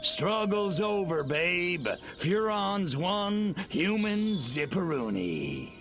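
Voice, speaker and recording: An actor playing a smug, wisecracking, sneering alien invader in a video game cutscene. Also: male voice, sits high, big pitch swings, takes it slowly, exaggerated voice. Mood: smug, mocking. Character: cocky, sneering male alien invader conquering Earth. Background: music.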